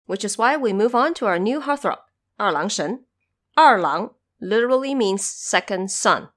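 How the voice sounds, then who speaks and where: A woman hosting a podcast, explaining background notes in a measured, instructive way with conversational asides. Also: medium pitch, expressive. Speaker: podcast host. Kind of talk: explanatory production-notes narration.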